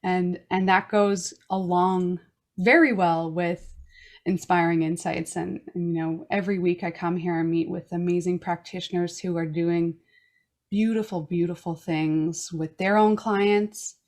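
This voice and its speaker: A woman from Newfoundland hosting a wellness podcast, reading a reflective personal statement in a slow, earnest way. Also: on the low side, fairly even intonation, darkish tone. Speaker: podcast host. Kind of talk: reading a personal land acknowledgment and intro.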